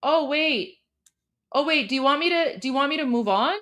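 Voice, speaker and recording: High-voiced woman talking casually to her viewers. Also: lively intonation, brisk, a bit bright. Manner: conversational, repeats and rephrases herself, addresses viewers directly. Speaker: host translating and commenting for viewers. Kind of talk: casual livestream commentary and translation.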